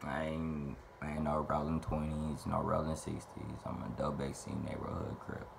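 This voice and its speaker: Deep-voiced young man, loose and profane. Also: little pitch movement, moderate pace, vocal fry, background noise. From Little Rock, Arkansas. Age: 20s. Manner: loose, profane, rambling and playful. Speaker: vlogger answering fan questions. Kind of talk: casual Q&A monologue to camera. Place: small bedroom indoors.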